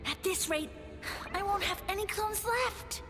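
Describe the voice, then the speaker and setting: A woman's voice: very high-pitched, a little slow. A woman voicing a petulant, haughty ancient mushroom lord in a game, whiny and commanding. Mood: indignant whining. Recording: long hall reverb with music.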